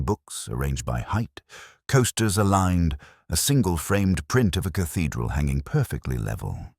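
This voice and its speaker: A man reading a mystery story in a measured, wry narrating manner. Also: a bass voice, no echo, clean studio sound.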